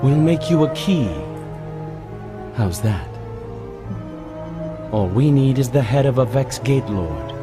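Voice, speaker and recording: An actor playing a haughty royal prince in a video game, speaking in a languid, sardonic and disdainful way. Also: male voice, medium pitch, lively intonation, slow, long pauses, over music. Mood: mocking amusement. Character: haughty royal prince, dry, bored and mocking. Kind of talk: video game cutscene acting.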